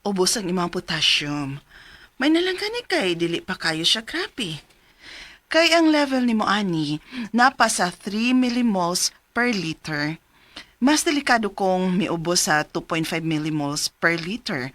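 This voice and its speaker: An actress with a Cebuano accent playing a calm, professional doctor who explains and advises in a Philippine radio drama. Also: female voice, lowish voice, unhurried, no echo. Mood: explanatory.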